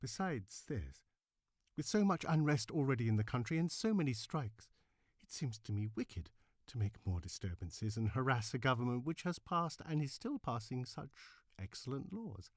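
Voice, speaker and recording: A man's voice: mid-range voice, big pitch swings, moderate pace, no room sound. Male reader as timid law-abiding sympathiser, polite, earnest, emphatic, dramatised letter reading, dry recording. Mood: earnest, admiring.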